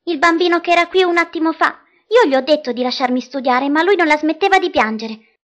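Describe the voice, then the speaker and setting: A woman's voice: high voice, fairly quick, a bit bright. A woman voicing a stubborn, teasing young girl in a dubbed film drama, insistent and matter-of-fact. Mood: defiant. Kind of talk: dubbed film acting in Italian fandub.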